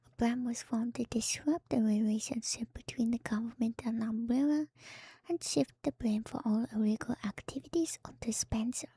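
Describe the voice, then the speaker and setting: A woman streamer doing a whispered ASMR reading with casual commentary, musing in a hushed, drawn-out way. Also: a little high, fairly even intonation, breathy edge, dry recording. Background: background music.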